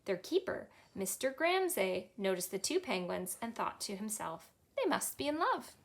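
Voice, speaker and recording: A woman in her thirties with a Canadian accent, a children's librarian reading a picture book aloud in a cheerful, expressive storytime manner. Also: medium pitch, moderate pace. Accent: Canadian English. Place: small indoor library room.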